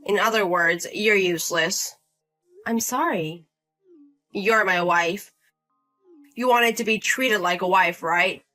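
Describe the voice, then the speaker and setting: A woman's voice: medium pitch, pushed and bright, poor audio. A woman voicing a bullying, entitled older brother-in-law in his thirties, demanding and condescending.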